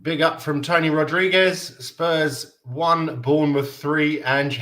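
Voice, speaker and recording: A man's voice: on the high side, fairly even intonation, slow pace, a bit bright. Danish guy in his 30s chatting on a live stream, reads out chat, laughs a lot, loose and rambling. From Denmark. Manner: chatty, reads out viewer comments, laughs often. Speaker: fan livestream host.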